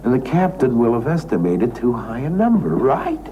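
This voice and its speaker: An anxious male passenger in a television drama, speaking at an average pitch. He hesitates, then presses on insistently. There is clear noise on the old recording. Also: wildly swooping pitch, normal speed, dull audio. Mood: anxious, probing. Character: anxious, pushy male ship passenger angling for information. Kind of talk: conversational television drama acting. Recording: noisy old TV soundtrack.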